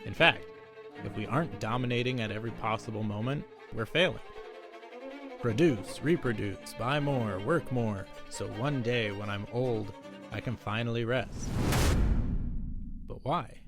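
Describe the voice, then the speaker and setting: A man's voice: medium pitch, a little slow, pauses often. A man giving scripted, reflective narration. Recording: voice-over with background music. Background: music.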